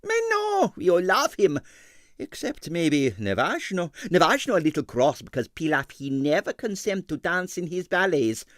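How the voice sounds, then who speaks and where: A man's voice: high-pitched, huge pitch swings, moderate pace, a bit bright, no room sound, clean studio sound, pitched above the performer's own voice, exaggerated voice. A man voicing a sentimental, money-minded ballet impresario in a storybook, effusive and theatrical, with a thick foreign accent and broken English. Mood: dramatic, earnest. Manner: theatrical, effusive, French interjections.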